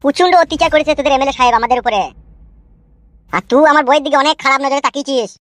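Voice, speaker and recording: A man's voice: very high voice, rapid-fire, fairly bright tone, no room sound. A man voicing a brash, foul-mouthed young villager in a comic cartoon, with a rural West Bengal accent and a blustering manner. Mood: threatening bluster. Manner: blustering, crude.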